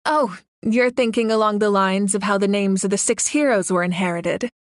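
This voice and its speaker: An actress playing a noble woman leader in a video game, speaking in a measured, formal, assured way. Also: mid-range voice, no room sound, clean studio sound, natural voice. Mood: calm, explanatory. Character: noble warrior woman leader, composed, dutiful, gracious. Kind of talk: video game character dialogue. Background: background music.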